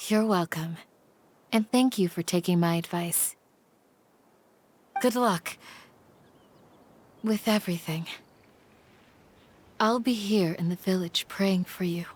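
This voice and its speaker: A woman voicing a calm, caring, protective village guardian in a video game, with a gentle, earnest, measured delivery. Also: lowish voice, a little hiss or hum. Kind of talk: anime-style video game character acting.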